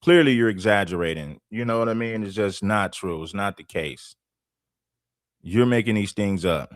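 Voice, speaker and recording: A blunt, argumentative man hosting a livestream. His voice sits low, and the sound is close and dry. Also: normal speed, no echo. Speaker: host. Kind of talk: livestream commentary.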